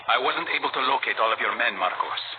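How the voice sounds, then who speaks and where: A man playing a calm, stern, guarded assassin hero in a video game, speaking in measured, terse lines. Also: medium pitch, close to an everyday voice. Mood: businesslike. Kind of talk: video game character acting. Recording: tinny band-limited game audio, noisy.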